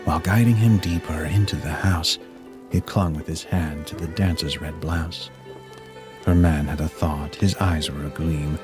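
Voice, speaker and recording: Deep-voiced man narrating rhyming verse over music. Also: steady tone, unhurried. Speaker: storyteller. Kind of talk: scripted narration of a rhyming Christmas poem.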